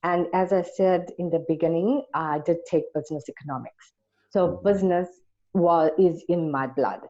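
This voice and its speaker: Low, mellow South African woman. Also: moderate pace. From Durban, South Africa. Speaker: interview guest, entrepreneur. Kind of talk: conversational interview answers. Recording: dull, lo-fi audio.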